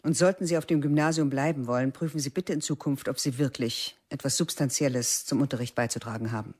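A woman's voice: rumbling low, fast, darkish tone, slightly rough. An actress playing a stern middle-aged female biology teacher who lectures curtly and cuts her students down. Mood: cold. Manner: curt, cutting, lecturing. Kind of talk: radio drama acting.

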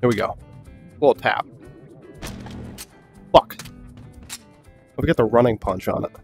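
A young man giving casual, excitable gameplay commentary, swearing often and laughing a lot. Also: mid-range voice, measured pace, soft background music. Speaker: gaming YouTuber.

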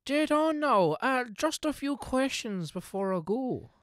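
Cheeky, animated detective sidekick, acted by a woman. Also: high voice, measured pace, no echo, studio quality. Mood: flippant. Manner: flippant, slangy. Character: cheeky, clueless, slack male detective sidekick. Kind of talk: comic amateur radio drama acting.

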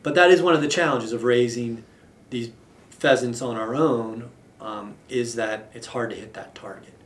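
Man, thirties, echoey room, slightly distant mic, mid pitch, formal, measured interview delivery. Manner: measured, formal, with deliberate pauses. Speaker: state wildlife agency official. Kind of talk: explanatory on-camera interview. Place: indoor office with wall map.

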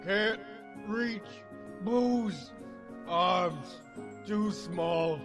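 A man's voice: high voice, drawn-out words, over music. A man voicing a boozy, smirking demon brother with a drawling, taunting manner in a motion comic radio play. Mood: straining, drunk.

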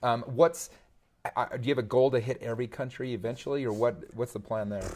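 Fast-talking upbeat male host. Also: medium pitch, steady tone, a little mellow. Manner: upbeat, laughs often, friendly. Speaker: podcast host. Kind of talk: casual interview chat. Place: large dim indoor studio space.

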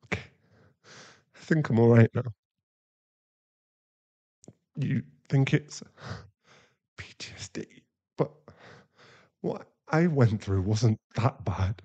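A man acting a remorseful, tender werewolf boyfriend in an intimate ASMR roleplay, halting and emotional, often breathy. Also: medium pitch, animated, mellow, dull audio. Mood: troubled, resolving. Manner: halting, emotional, pleading, with sighs and breathy drops into whispers.

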